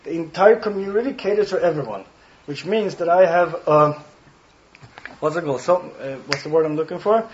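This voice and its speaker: Swedish-accented rabbi with a high voice. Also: male voice, normal speed, lo-fi sound, a little hiss or hum. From Sweden. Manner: earnest, explains Talmud phrases one by one, with dry jokes and frequent throat-clearing. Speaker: rabbi, guest speaker. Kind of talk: Torah talk to a study hall.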